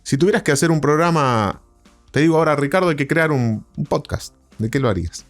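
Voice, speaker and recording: A man's voice: a little high, animated, a little fast, faint music behind. An Argentine man hosting a podcast interview in a casual, conversational way.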